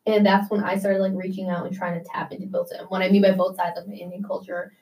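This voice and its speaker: A young woman from the United States talks in a loose, casual interview way, full of "like" and little restarts. Her pitch sits in an ordinary middle range. She sounds slightly set back from the microphone. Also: flat delivery, quick pace, darkish tone, recorded from a distance. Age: young adult. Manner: chatty, many "like" fillers.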